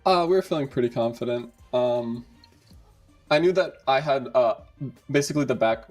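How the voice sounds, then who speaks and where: Male pro gamer as interview guest, medium pitch, casual delivery, soft music behind. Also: normal speed. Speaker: esports player being interviewed.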